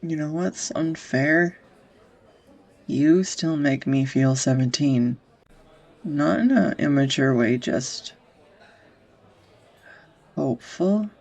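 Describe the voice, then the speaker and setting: A young woman acting a tender, easily flustered sweetheart in an intimate romantic audio roleplay, with a husky, breathy, hesitant voice. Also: sits low, a little mellow, heavily acted. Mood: tender, earnest. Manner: hesitant, confessional, breaking into whispers.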